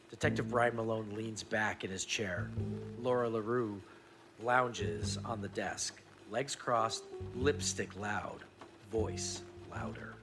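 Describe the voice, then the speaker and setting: A man hosting a podcast, moving between casual chat and scripted scene narration. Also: on the low side.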